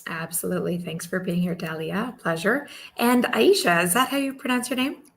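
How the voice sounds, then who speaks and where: Bright-voiced lady hosting a webinar panel, upbeat, welcoming, video call sound. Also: mid-range voice, moderate pace, creaky. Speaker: moderator.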